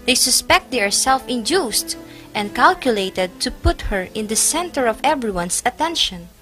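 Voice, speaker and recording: A woman's voice: on the high side, animated, moderate pace, fairly bright tone, soft background music. A woman playing a sarcastic, gossiping nun in a stage play, mocking and theatrical. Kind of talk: amateur stage play acting.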